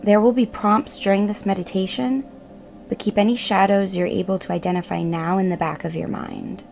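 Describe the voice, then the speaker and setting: A woman narrating a guided meditation in a gentle, measured, reassuring manner. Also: medium pitch, fairly even intonation, vocal fry, a little hiss or hum. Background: meditative music.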